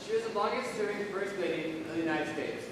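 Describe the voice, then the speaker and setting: A man's voice: sits high, fast, distant mic, lightly acted. A man playing a solemn, mysterious narrator in a school stage play, in a noisy echoey hall. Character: solemn, mysterious narrator who waits and listens. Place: school stage, recorded from the audience.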